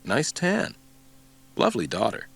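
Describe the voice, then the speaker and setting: A man's voice: lowish voice, wildly swooping pitch, a little slow, gravelly, fairly bright tone, breathy edge, full character voice. A man voicing a cocky male federal agent who speaks mildly and wryly. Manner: mild, wry, cocky.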